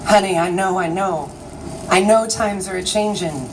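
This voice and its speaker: Woman doing spoken word, slow n theatrical, mic kinda far, noisy street sound behind. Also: medium pitch. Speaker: writer and magazine editor performing own work. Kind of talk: live spoken-word literary reading. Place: city alley at a live event. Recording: distant mic, noisy outdoor ambience.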